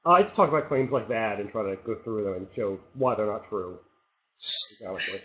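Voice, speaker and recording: A man on a panel, a writer who explains his arguments quickly with many fillers and hedges. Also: on the low side. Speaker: guest writer. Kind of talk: casual panel discussion. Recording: dull lo-fi sound.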